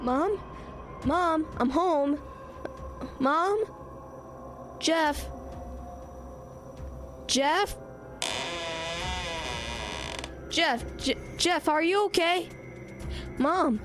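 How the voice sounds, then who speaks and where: A man's voice: very high voice, drawn-out words, pauses often, over music, exaggerated voice. An actor voicing a scared young boy who stammers in a horror radio drama. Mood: anxious. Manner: frightened, stammering. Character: young boy named Gary, scared and confused.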